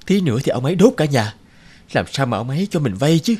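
A man voicing a poor, timid peasant father in an animated folk tale, humble and hesitant as he pleads. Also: mid-range voice, quick pace, husky. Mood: anxious, humble.

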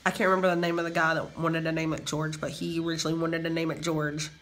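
A man's voice: fairly high pitch, monotone, fast, a bit bright. A young man with a sinus infection, chatting and joking drily at home into a phone camera. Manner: dry joking, playful. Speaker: vlogger's partner. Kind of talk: casual banter. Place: home, indoors.

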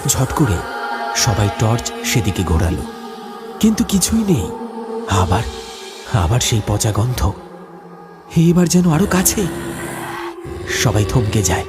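Animated male horror storyteller. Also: fairly low pitch, takes it slowly, long pauses. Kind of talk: dramatic scripted horror narration. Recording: loud music behind the voice.

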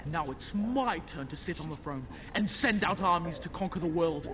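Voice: mid-range voice, expressive, moderate pace, background noise, close to an everyday voice. A performer playing a demon-possessed young boy in a fantasy game, haughty, mocking and commanding. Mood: petulant menace.

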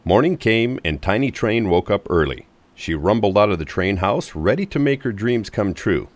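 A man narrating a children's storybook from a script in an expressive, clearly paced manner. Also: lowish voice, normal speed, no echo.

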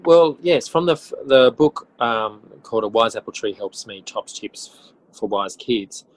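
A man in his forties with an Australian accent, talking as a guest in a casual podcast interview in a halting, reflective way. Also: medium pitch, animated, rough recording. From country New South Wales, Australia. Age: 40s. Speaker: interview guest, schoolteacher and author.

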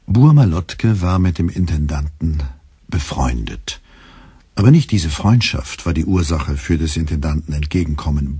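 A man's voice: low-pitched, darkish tone, husky, dry recording. A man narrating a literary radio play in a dry, ironic storytelling manner.

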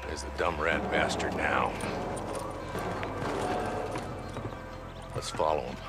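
Deep, gruff Old West marshal. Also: male voice, fairly even intonation, moderate pace, loud music behind the voice. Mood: gruff, urgent. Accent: American West. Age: older. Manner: gruff, blunt, folksy. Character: tough, weary, no-nonsense older Old West marshal. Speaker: town marshal. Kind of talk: dramatic video game acting.

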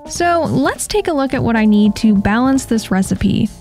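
A woman's voice: on the high side, lively intonation, normal speed, a little mellow. A woman giving a scripted how-to voice-over as the host of a pet vlog. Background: background music.

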